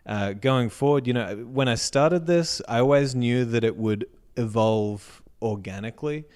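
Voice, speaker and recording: A man with an Australian accent, a music educator speaking as an interview guest in a casual, reflective way that trails off softly at the ends of sentences. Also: medium pitch, measured pace, smooth.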